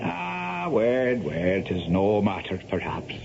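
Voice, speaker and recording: Creaky, lilting old Irish storyteller. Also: male voice, fairly low pitch, very animated, slow pace, noisy background, exaggerated voice. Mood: wistful, genial. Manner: lilting, folksy, musing. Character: old Irish local, a wistful rambling storyteller. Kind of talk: 1970s radio drama acting. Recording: dull vintage radio recording, music behind.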